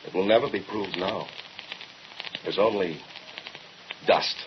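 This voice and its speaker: A man's voice: deep voice, slow pace. A man playing a bitter, mournful accuser in a 1940s radio horror drama, with solemn, poetic and sardonic delivery. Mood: accusing. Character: bitter, mournful male accuser mocking his scientist brother. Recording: noisy, muffled old radio drama recording.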